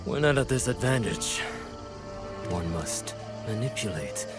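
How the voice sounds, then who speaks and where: An actor playing a shrewd, philosophical strategist in a video game, with a measured, assured, oratorical way of speaking. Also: male voice, medium pitch, expressive, loud music behind the voice. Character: shrewd, philosophical male strategist, confident and composed. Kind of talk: video game cutscene acting.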